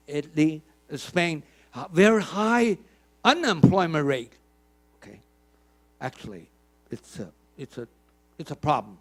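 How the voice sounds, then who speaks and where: An older man giving a conference keynote, emphatic, asking the audience questions and often repeating words. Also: a little high. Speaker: keynote speaker. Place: conference hall.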